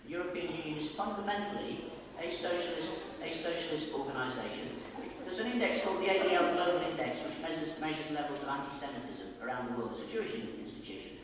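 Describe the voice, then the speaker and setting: A man's voice: a little high, animated, fast talker, background noise. A man speaking as a parliamentary candidate at a public hustings, blunt and forthright. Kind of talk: debate answer at a public hustings. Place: large echoey hall. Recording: echoey hall, mic far off.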